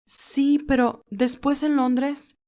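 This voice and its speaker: A woman's voice: high-pitched, fairly even intonation, slow pace, dark tone, pitched above the performer's own voice. A woman voicing an emotional, defensive young nurse in acted audiobook dialogue, earnest and emphatic. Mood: defensive, hurt.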